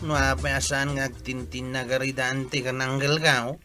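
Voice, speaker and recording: A man's voice: mid-range voice, flat delivery, moderate pace, music in the background, lightly acted. A man with an Ilocano accent acting a nosy, grumbling nephew in a village comedy drama. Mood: complaining.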